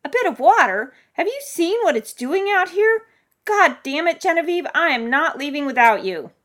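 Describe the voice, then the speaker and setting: A woman's voice: mid-range voice, animated, normal speed, a bit bright. A woman voicing an exasperated, caring male neighbor with an emphatic, impatient manner in a story reading. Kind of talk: audiobook character voice.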